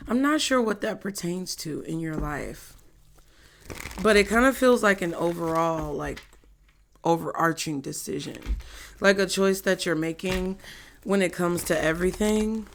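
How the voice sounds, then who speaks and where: Slow, hoarse woman reading tarot. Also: lowish voice, slight background noise. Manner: slow, chatty, laughs often. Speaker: tarot reader. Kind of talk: casual tarot reading to viewers.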